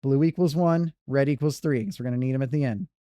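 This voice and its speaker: Male streamer, casual speedrun commentary, warm low tone, dry. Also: quick pace, no room sound. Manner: rambling, self-correcting banter.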